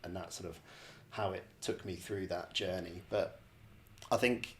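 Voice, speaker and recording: Low voiced guy, pretty flat delivery, podcast guest thinking back out loud, keeps rephrasing. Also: measured pace, a little hiss or hum. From borders of Scotland. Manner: hesitant, repeats and rephrases phrases. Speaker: podcast guest, real estate director. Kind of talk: reflective interview answer, casual.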